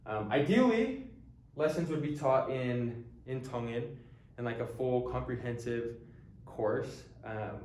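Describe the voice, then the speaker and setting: A young man in his early twenties speaks earnestly, in a middle-pitched voice, pausing often on ums and restarting his sentences. He talks casually to the camera like a teacher introducing his course. The room around him is echoey. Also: animated, a little mellow, slight background noise. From United States. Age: early 20s. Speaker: language teacher. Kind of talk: casual talking-head introduction.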